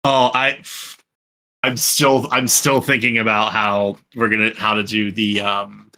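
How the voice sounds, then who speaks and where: A man's voice: lowish voice, flat delivery, measured pace, pushed and bright. A man in a casual group podcast, speaking hesitantly as he thinks things through aloud. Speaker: podcast panelist and fan voice actor.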